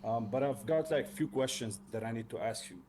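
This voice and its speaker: A man from Lebanon, speaking haltingly and casually as a learner in a mentoring chat. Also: medium pitch, dark tone, a little hiss or hum. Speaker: learner trader, mentee.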